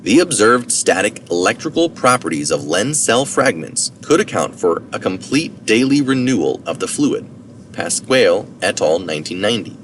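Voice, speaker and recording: Low-voiced man reading, distant and noisy. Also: very animated, normal speed. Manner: informal asides for citations, occasional self-correction. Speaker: reader of articles. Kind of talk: read-aloud science article narration. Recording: distant mic, noticeable background noise.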